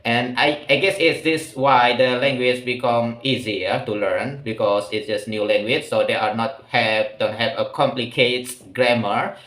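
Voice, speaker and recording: A man's voice: medium pitch, fairly even intonation, a bit bright, echoey room. Hesitant young man. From Indonesia. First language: Malay. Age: late 20s. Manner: hesitant, halting, pauses often to search for words. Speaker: vlogger. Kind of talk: casual personal monologue.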